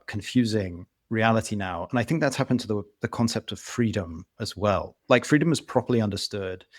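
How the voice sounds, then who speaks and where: A man's voice: on the low side, dry recording, clean studio sound. A British man from London, an author being interviewed, reasoning aloud at length in an earnest, conversational way. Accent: British English. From England (London). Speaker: author guest.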